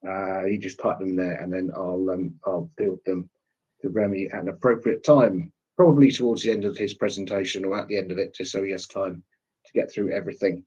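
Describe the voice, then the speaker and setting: A man hosting an online session with an informal introduction, speaking in a hesitant, halting way. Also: deep voice, fairly even intonation, darkish tone, breathy edge, muffled recording. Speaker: webinar host. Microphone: online webinar call.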